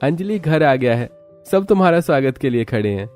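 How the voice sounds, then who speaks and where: Man voicing an eager young suitor, raised pitch. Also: animated, fairly quick, darkish tone, faint music behind, lightly acted. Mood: cheerful, reassuring. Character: friendly young man, attentive suitor and new husband.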